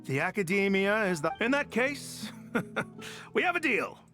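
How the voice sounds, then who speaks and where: An actor playing a greedy, blustering desert clan chief in a video game, turning between gruff suspicion and effusive eagerness. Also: male voice, sits high, very animated, measured pace, bright, forceful tone, faint music behind, heavily acted. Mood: gleeful, effusive. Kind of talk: dramatic video game voice acting.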